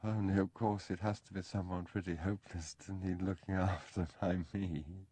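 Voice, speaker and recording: A man reading an audiobook, voicing a frail young gentleman who speaks softly and languidly with gentle self-mockery. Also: deep voice, little pitch movement, moderate pace, breathy edge, voice pushed up. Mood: wistful.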